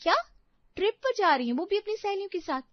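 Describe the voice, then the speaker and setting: A woman's voice: very high voice, lively intonation, fast talker, no room sound, lo-fi sound. A woman playing an anxious young working daughter-in-law in an audio story, protesting and incredulous. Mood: shocked.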